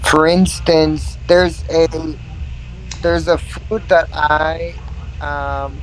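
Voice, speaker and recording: A man chats on a livestream at a very slow pace, repeating words as he goes and laughing easily. His voice sits a little high. A faint hiss runs behind him. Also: fairly even intonation, poor audio. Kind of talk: casual chat.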